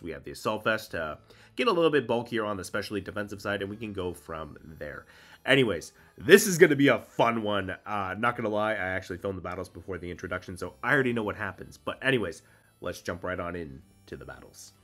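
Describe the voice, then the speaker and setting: A man's voice: deep voice, a bit bright. Congested man explaining game strategy. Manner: chatty, explains strategy, frequent ums. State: congested from a cold. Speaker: Pokemon VGC content creator. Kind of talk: casual game commentary.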